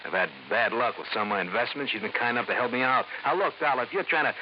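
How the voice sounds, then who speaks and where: An actor playing a slippery, defensive blackmailer in an old radio drama, insistent and brusque. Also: male voice, medium pitch, fast talker, lo-fi sound, natural voice. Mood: defensive, evasive. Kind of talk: mid-century American radio drama acting. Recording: noisy, band-limited old radio recording.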